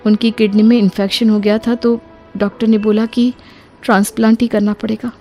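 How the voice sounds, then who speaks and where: Casting: actress as struggling young mother in a moral story, high voice with heavy creak, polite and plaintive. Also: little pitch movement, brisk, mellow, faint music behind, lightly acted. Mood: troubled. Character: distressed, humble young mother fallen on hard times. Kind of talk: acted Hindi moral story dialogue.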